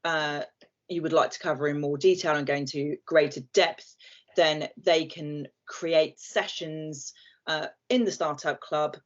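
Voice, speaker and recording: A woman's voice: sits low, steady tone, unhurried. A woman from southern England giving a friendly, chatty presentation on a video call, with some fillers. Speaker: guest speaker and company head. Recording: muffled video call audio.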